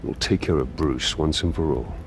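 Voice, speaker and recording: A man's voice: a bass voice, moderate pace, music in the background. An actor playing a resolute, grim male warrior captain in a video game cinematic, speaking in a terse, firm manner.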